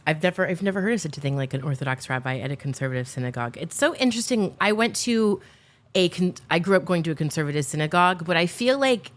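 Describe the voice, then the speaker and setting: A woman's voice: fairly low pitch, animated, quick pace. A young adult woman hosting a podcast interview over a remote call. She talks in a chatty, enthusiastic way with many "like" fillers. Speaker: podcast host. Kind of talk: casual interview chat.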